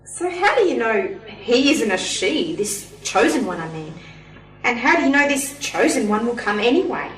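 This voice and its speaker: A woman's voice: fairly high pitch, very animated, moderate pace, fairly bright tone, rough recording. A woman playing a curious, sceptical, outspoken niece in an amateur sci-fi radio play, pert and full of questions.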